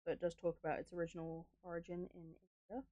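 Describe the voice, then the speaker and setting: A woman with a lowish voice talks casually as a streamer, rambling through stories with plenty of swearing. Her delivery is irreverent and conversational, recorded close and dry with little room sound. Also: level tone, racing, dark tone, dry recording, dull audio. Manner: acts out dialogue when retelling stories. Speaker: streamer reacting to horror videos. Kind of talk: casual rambling chat, storytelling.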